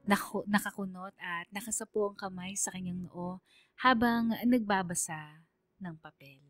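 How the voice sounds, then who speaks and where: A woman's voice: mid-range voice, moderate pace, fairly bright tone, no echo, soft background music. A woman narrating a scripted first-person radio story in a confiding, heartfelt way.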